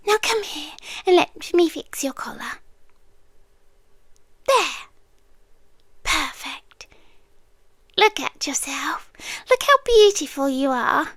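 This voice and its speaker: A woman's voice: sits high, no echo, studio quality, heavily acted. A British woman playing a centuries-old possessive monster lover in an ASMR roleplay, coaxing and sweetly menacing, often slipping into a whisper. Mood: sweetly controlling. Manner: slow, hushed, coaxing, often drops into whispers.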